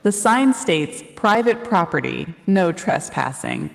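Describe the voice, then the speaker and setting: A synthetic woman's voice playing a calm, helpful AI assistant, polite and precise, heard in an echoey hall. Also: lowish voice, slow, vocal fry, heavily acted. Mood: informative. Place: played through speakers in a large auditorium.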